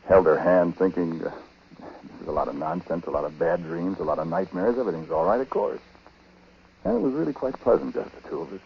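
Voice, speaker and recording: Haunted, low-voiced husband in radio drama. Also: male voice. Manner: halting, conversational, brooding delivery. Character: haunted, uneasy husband confessing a dark story. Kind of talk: 1940s radio drama acting and first-person narration. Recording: muffled, noisy vintage 1940s radio broadcast.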